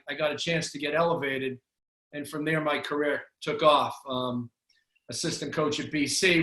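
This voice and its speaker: Boston-accented man, bright and echoey. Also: a little high. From Boston area, USA. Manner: candid storytelling with frequent restarts. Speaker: basketball coach, guest. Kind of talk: casual interview talk.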